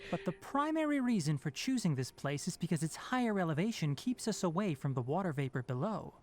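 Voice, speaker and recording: An actor playing a young, knowledgeable forest ranger in a video game, instructive and practical with a dry candour. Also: male voice, fairly high pitch, sing-song, fairly quick, a little mellow. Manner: instructive, matter-of-fact, slightly dry.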